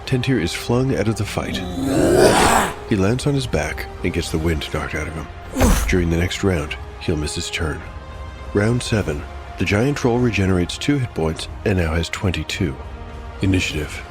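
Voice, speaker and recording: A man with a very low voice works as game master and narrator over prominent background music. He tells the fight like a dark story, then steps out with wry comments and mild exclamations about the dice. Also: animated, moderate pace.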